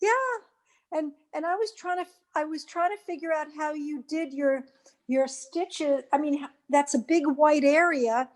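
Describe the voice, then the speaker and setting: A woman with a clearly high-pitched voice takes part in a group conversation. Her delivery is informal and conversational. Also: fairly even intonation, unhurried. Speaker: group participant. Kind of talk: casual chat.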